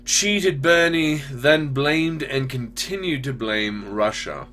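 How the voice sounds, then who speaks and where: A man's voice: medium pitch, takes it slowly, pushed and bright. A man speaking as host of a casual, opinionated question-and-answer show, in a blunt, informal way. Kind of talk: casual opinionated commentary, answering audience messages.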